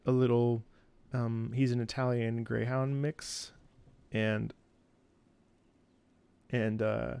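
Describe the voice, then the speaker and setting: A man giving playful, expressive casual commentary as he streams a game, talking to his chat. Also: mid-range voice, slow pace, gravelly, pauses often, smooth. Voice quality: trained singer's voice.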